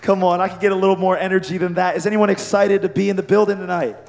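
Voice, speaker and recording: A young man with a worn-out, hoarse voice, preaching a lively youth sermon and calling out to the crowd. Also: high-pitched, fast talker, background noise. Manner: energetic, calls out to the crowd. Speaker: youth pastor.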